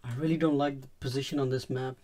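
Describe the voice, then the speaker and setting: An easygoing man whose accent blends Indian and New Zealand sounds talks in an ordinary middle voice. He chats loosely over voice chat, often chuckling, relaxed between callouts. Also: medium pitch, fast. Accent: mix of Indian and New Zealand. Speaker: player on team voice chat. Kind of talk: casual gaming chat.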